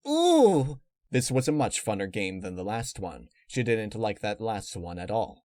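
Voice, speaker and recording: A man's voice: fairly low pitch, very animated, a little slow, darkish tone, no room sound, studio quality. A man voicing a bubbly, naive young female cartoon pony who exclaims in a childlike, defiant way. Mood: silly, excited.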